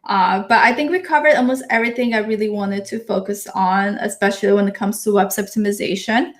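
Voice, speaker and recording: A woman's voice: medium pitch, steady tone, fast talker. A woman in her thirties from Ukraine, a marketing guest on a podcast who chats casually and laughs often. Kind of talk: casual interview chat.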